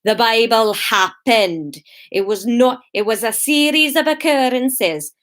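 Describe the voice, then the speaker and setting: A woman voicing a pompous, easily outraged village man in a booming, trembling, over-enunciated voice for a comic story. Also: unhurried, fairly bright tone. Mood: indignant. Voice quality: trembling vibrato.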